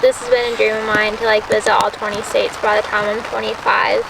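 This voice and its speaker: A woman's voice: mid-range voice, little pitch movement, brisk, vocal fry, crowd noise. A woman in her twenties in a casual vlog chat, chatty and reading questions off a list. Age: 20s. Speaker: vlogger. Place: outdoors beside a car in the rain. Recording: distant outdoor camera audio with rain noise. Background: rain and outdoor ambience.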